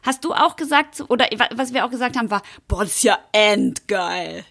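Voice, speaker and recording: A woman's voice: on the high side, expressive, a little fast, vocal fry, fairly bright tone. A middle-aged woman hosting a podcast in casual chat, playful and now and then slipping into a mock rapper tone. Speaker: podcast host.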